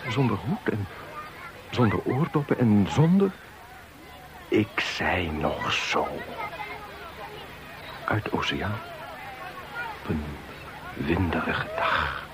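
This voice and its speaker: A man in a radio play speaking a slow, brooding inner monologue. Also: deep voice, expressive, crowd noise, background noise. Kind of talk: 1970s-style Dutch radio drama monologue.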